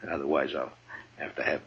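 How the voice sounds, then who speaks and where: A man's voice: a bass voice, husky, dull audio, full character voice. A male actor playing a stern, weary frontier lawman in a radio western, blunt and authoritative, on an old broadcast recording. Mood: gentle, amused. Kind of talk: 1950s radio western acting.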